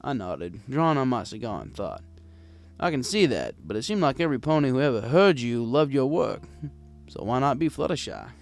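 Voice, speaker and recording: A man's voice: mid-range voice, very animated, moderate pace, creaky. A man narrating a scripted first-person story as a world-weary, kindly old bartender. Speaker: audiobook narrator.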